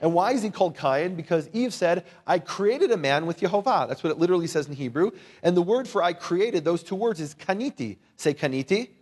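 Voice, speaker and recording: Higher-pitched man teaching informally. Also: expressive, fairly quick, few pauses, a little mellow. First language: English. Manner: conversational, wry humour, rhetorical questions, asks listeners to repeat words. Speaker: Bible teacher and lecturer. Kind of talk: informal teaching talk to a live audience.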